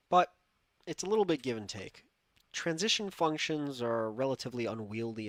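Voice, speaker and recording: A man giving a clear, upbeat, scripted recorded conference talk. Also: fairly low pitch, a bit bright, no echo. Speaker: conference speaker. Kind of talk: prerecorded tech talk.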